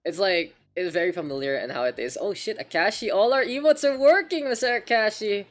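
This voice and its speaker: A man's voice: high-pitched, a little fast. A man with a Filipino accent casting an esports match on a live stream, chatty and rambling, often repeating himself. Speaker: esports caster.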